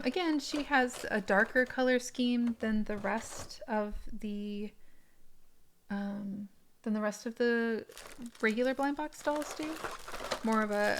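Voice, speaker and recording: A woman's voice: fairly high pitch, slight background noise. A woman giving a casual, unscripted unboxing review, describing small details in a hesitant, piece-by-piece way. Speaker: doll collector reviewing an unboxing.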